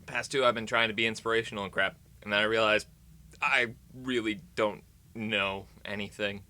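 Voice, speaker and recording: A man's voice: lowish voice, fairly even intonation, moderate pace, pushed and bright. An American man vlogging in casual, rambling chat to camera, playful and tongue-in-cheek with dramatic emphasis.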